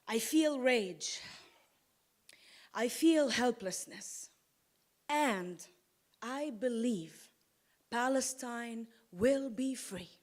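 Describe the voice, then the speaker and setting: A woman from Lebanon giving a slow, deliberate, impassioned award acceptance speech at a podium microphone on an auditorium stage. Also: on the high side, expressive, a little hiss or hum. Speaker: award-winning poet.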